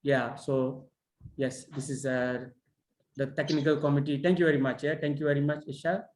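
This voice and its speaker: Halting man chairing an online session. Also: medium pitch, steady tone. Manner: halting, with many fillers and restarts. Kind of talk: giving feedback at an online conference. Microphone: video call. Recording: roomy echo.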